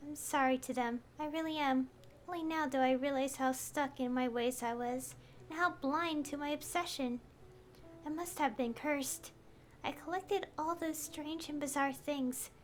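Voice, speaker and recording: High-voiced woman game streamer. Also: slight background noise.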